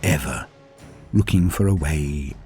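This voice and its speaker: A man's voice: a bass voice, unhurried, a little mellow, faint music behind. A man narrating scripted lore in a grandiose, theatrical storytelling manner. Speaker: narrator and presenter of a lore channel.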